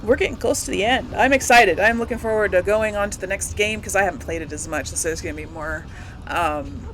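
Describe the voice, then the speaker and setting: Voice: medium pitch, a little fast, noisy background. A gaming video host giving casual, chatty Let's Play commentary and reacting aloud to the game.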